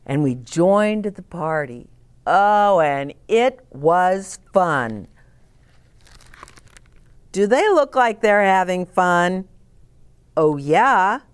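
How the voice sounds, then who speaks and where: A woman hosting storytime for children, reading aloud and pausing to explain gently and ask the listeners questions. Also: lowish voice, expressive, clean tone. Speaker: children's storyteller, host of a read-aloud channel. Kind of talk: read-aloud storytime with asides to young children.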